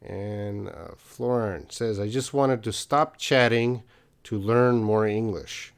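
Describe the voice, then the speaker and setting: A man's voice: lowish voice, slow pace. A man hosting a live-stream English Q&A, reading out viewer comments in a casual, hesitant way with many fillers. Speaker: host of English lessons.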